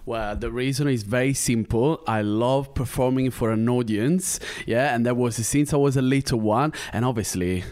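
A man's voice: mid-range voice, normal speed, few pauses, darkish tone, no room sound. Playful man. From Italy. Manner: playful, joking, flirty. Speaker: professional dancer. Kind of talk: casual chat.

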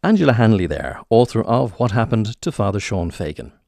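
A man's voice: lowish voice, very animated, fairly quick, creaky, darkish tone. A man with an Irish accent presenting on radio, moving between scripted links and interview questions.

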